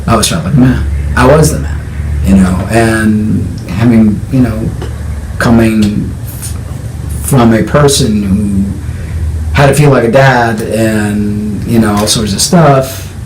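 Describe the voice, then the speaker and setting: A man with a lowish voice talks frankly and with emphasis in a personal conversation. The room echoes around him, and his delivery stays direct and sure. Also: takes it slowly, a little mellow, slight background noise. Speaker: co-host.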